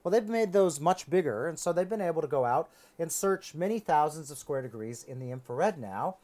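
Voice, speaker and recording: A man's voice: fairly high pitch, animated, brisk, darkish tone. A middle-aged man giving a conversational science lecture as a lecturer, explaining as he thinks aloud. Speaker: astronomy lecturer. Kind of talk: conversational science lecture in a two-person dialogue.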